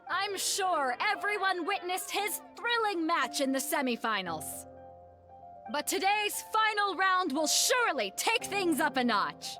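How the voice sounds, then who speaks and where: An actress playing a spirited, confident and showy tournament announcer in an anime-style video game. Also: female voice, high-pitched, bright, forceful tone, heavily acted.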